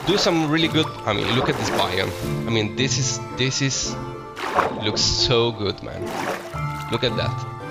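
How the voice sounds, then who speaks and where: Young Italian man, Italian accent, casual game commentary, easily excited, gravelly, music underneath. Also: mid-range voice, a little slow. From Italy. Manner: chatty, self-correcting, enthusiastic about the game. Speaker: Minecraft let's play host. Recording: slightly dull lo-fi audio with music behind.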